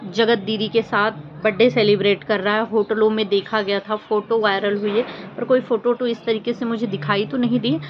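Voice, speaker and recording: A woman giving informal opinion commentary to her viewers, speaking in an earnest, reproachful, emphatic manner with rhetorical questions. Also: medium pitch. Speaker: vlogger commenting on social media controversy. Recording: muffled lo-fi audio with faint noise.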